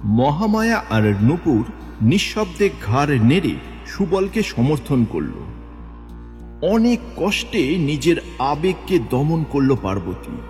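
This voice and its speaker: A man narrating a scripted horror tale. His fairly high voice moves dramatically at a slow pace, in long reverb over music. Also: big pitch swings, darkish tone.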